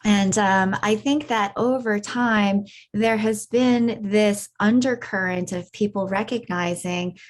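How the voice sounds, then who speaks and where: A woman's voice: medium pitch, steady tone, takes it slowly, few pauses. A woman in her forties talking as a podcast guest, reflective and loose, with many fillers and restarts. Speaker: podcast guest, beauty industry veteran. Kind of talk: casual interview conversation.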